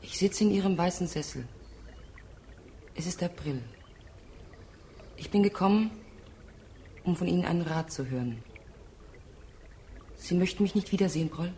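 A woman's voice: on the low side, normal speed, long pauses, warm tone, a little hiss or hum, close to an everyday voice. An actress in a German radio drama playing a dead woman in her early thirties, resigned and detached, speaking in repetitive, incantatory phrases. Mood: weary, detached.

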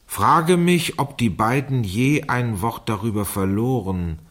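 A man's voice: medium pitch, slow pace. An actor playing a man who reads a teenage girl's diary aloud in a theatrical, mocking way in a radio crime play. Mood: dramatic, mocking. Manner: theatrical, dictates punctuation marks aloud.

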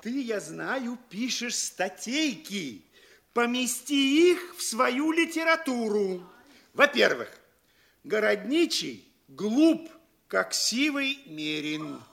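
An actor playing a nosy, excitable provincial postmaster in a classic comedy audio play, savoring each phrase theatrically. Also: male voice, extremely high pitch, slow, vocal fry, heavily acted. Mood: mocking relish.

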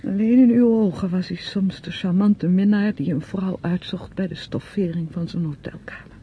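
An actress playing a guarded, weary young niece in a radio play, speaking with restraint. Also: female voice, lowish voice, normal speed, natural voice. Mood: bitter, exasperated. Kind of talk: radio drama acting. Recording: dull, noisy old radio play recording.

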